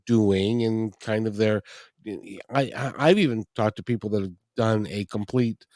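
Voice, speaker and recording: Host: man, lowish voice, dry studio sound, stammering questions. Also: moderate pace, darkish tone, dry recording. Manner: rambling questions with stammers and restarts. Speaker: podcast host. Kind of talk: podcast interview.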